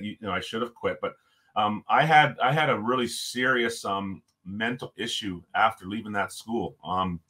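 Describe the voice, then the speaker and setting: A man from Ontario with a loud voice, telling stories as a podcast guest in an animated, emphatic way. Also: fairly low pitch. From Canada, Ontario. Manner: animated storyteller, emphatic, frequent restarts. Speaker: podcast guest, former hockey player. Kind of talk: casual storytelling interview.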